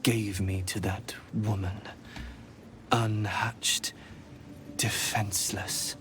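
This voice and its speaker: An actor voicing a menacing young alien boy in a video game, zealous and wounded, speaking in a cold, moralizing, deliberate way. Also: lowish voice, little pitch movement, a bit bright, a little hiss or hum. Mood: accusing, menacing.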